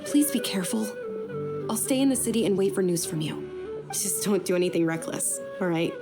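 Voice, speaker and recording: A woman playing a caring, earnest young noblewoman in a video game, soft-spoken and polite. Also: medium pitch, animated, brisk, natural voice. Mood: concerned. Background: background music.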